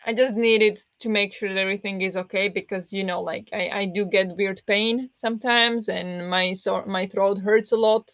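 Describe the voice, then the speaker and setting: Woman rambling on a book stream, pressed kinda raspy voice, dull lo-fi sound. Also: mid-range voice, fairly even intonation, normal speed, hardly any pauses, a bit bright, no echo. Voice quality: pressed voice that turns raspy easily. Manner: rambling, with many fillers and restarts. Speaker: book streamer hosting reading sprints. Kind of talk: casual livestream chat with viewers.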